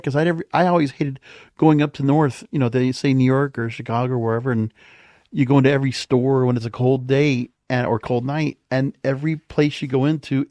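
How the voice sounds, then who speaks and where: A man's voice: mid-range voice, a little mellow, no room sound. A man hosting a podcast alone in a casual, conversational, rambling way, as if thinking aloud. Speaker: podcast host. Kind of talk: casual solo monologue. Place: home or small studio.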